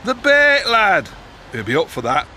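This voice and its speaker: An actor playing a wily working-class informant in a period audio drama, talking colloquially and conspiratorially. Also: male voice, high voice, wildly swooping pitch, a little slow, bright, forceful tone, slight background noise, heavily acted. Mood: sly, urging.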